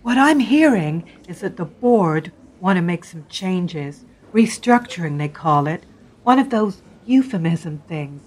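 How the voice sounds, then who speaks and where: A woman's voice: lowish voice, lively intonation, measured pace, a little mellow, husky. A woman playing a chatty bank worker who passes on office rumors in a radio play. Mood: gossipy. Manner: chatty, gossipy. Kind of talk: amateur radio play acting.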